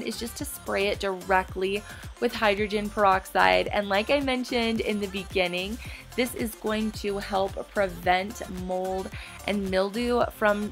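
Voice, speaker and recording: A chatty woman in her thirties narrates a home cleaning video in a bubbly, friendly way. Her pitch sits in an ordinary middle range. Faint music plays softly under her voice. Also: vocal fry. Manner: bubbly, chatty, with light laughs. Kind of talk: voice-over cleaning tutorial. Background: soft background music.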